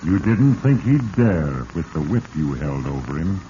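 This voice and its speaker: An actor playing an ominous voice of fate, narrating solemnly and accusingly in 1940s radio mystery style. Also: male voice, sits low, big pitch swings, a little slow. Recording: muffled vintage radio recording with hiss.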